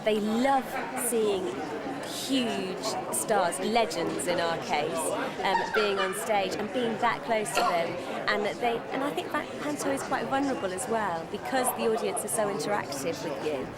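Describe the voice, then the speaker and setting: Woman interviewed over loud crowd noise. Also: mid-range voice, a little slow. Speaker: pantomime performer.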